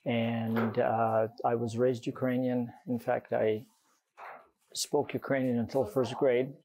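An older man in an interview, speaking haltingly and heartfelt, on a lapel mic in a shop. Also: medium pitch, little pitch movement, dark tone, poor audio. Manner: hesitant, heartfelt, with fillers. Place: candle shop, indoors.

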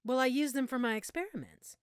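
A woman's voice: sits high, a little fast, clear, resonant tone, no echo, clean studio sound, natural voice. A woman playing a menacing, gloating female mad scientist in an audio roleplay, with a theatrical, taunting manner. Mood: gloating, explaining. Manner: mocking, theatrical, taunting endearments. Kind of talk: audio roleplay acting addressed to listener.

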